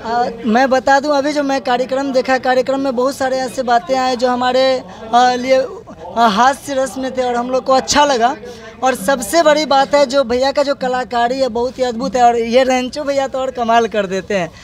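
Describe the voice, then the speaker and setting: A man's voice: very high voice, little pitch movement, normal speed. A man doing an enthusiastic, effusive on-location interview for local news. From Bihar, India.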